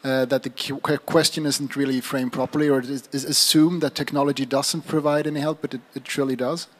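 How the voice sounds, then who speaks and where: A man giving an impromptu summary to a room, measured and halting, often restarting his phrases. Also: mid-range voice, steady tone. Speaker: government agency staffer. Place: live event room.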